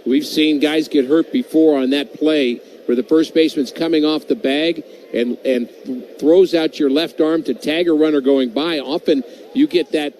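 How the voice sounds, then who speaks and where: A man who played the game, giving relaxed, conversational color commentary on a radio baseball broadcast. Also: fairly high pitch, normal speed, a little hiss or hum.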